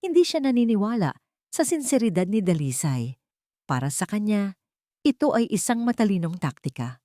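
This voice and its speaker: A woman's voice: mid-range voice, very animated, moderate pace, warm tone, no room sound, clean studio sound. A woman with a Filipino accent reading a dramatic scripted story as narrator.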